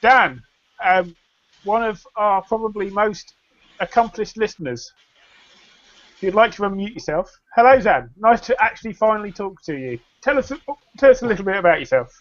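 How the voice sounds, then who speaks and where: A man hosting a casual online chat, relaxed and chatty. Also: high voice, moderate pace, no room sound. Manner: relaxed, chatty, a few restarts. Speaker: host. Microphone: online call. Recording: dull, dry lo-fi call audio.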